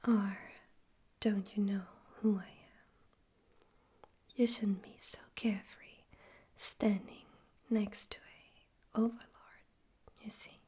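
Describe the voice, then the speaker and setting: A woman voicing a smug, politely menacing male radio demon overlord in a soft, breathy, theatrical whisper for an ASMR roleplay. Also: medium pitch, little pitch movement. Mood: taunting, curious. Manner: slow, teasing, theatrical, condescending.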